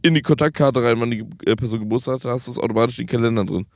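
A man in his late twenties talks casually as a podcast host with friends. His voice sits at an average pitch and his speech is slangy, often doubling back on phrases. The recording is dry, with hardly any room sound. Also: racing, a little mellow, no room sound. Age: late 20s. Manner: slangy, informal, stumbling over repeated phrases. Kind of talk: casual chat between friends.

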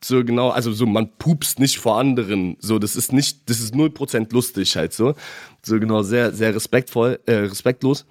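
A young man who came to Germany as a child, chatting casually as a podcast guest with animated, halting speech full of restarts. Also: medium pitch, no room sound, studio quality. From abroad, came to Germany as a child.

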